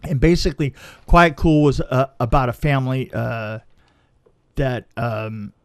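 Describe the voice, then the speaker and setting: A man's voice: mid-range voice, steady tone, measured pace. A man telling a casual anecdote as a film crew guest, stammering and repeating words as he goes.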